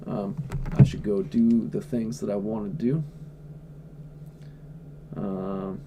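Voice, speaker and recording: A man's voice: fairly low pitch, little pitch movement, slow, background noise. A man livestreaming from home, answering chat questions in a blunt, offhand way and swearing freely. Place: at home indoors.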